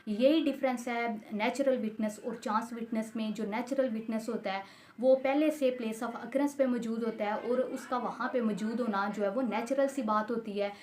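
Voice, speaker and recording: A woman lecturer speaks in a fairly high voice with a Pakistani accent. She explains in a didactic style, restating each point and working through examples. English technical terms are mixed into her sentences. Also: fairly even intonation, normal speed, a little mellow. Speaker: law lecturer.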